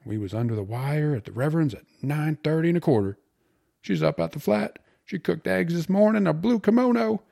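A man's voice: lowish voice, animated, moderate pace, darkish tone, slightly rough, pitched above the performer's own voice. A man voicing a cheerful, cocky young suitor who talks in eager, colloquial slang for an audiobook. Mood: jubilant. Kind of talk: audiobook character voice.

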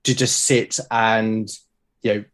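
A British man talking as a podcast guest, explaining his creative process in a reflective, halting way. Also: fairly low pitch, little pitch movement, a bit bright. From Britain. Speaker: podcast guest, creator of a solo role-playing show.

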